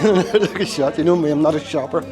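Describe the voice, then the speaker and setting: A man's voice: fairly high pitch, a little fast, over a noisy crowd. An Irish man walking through old town streets outdoors and chatting casually to the camera about what he sees, with a chesty, tickly cough. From Ireland. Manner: chatty, rambling, self-deprecating asides. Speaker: travel vlogger. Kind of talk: casual walking-tour commentary. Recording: music bed under the voice.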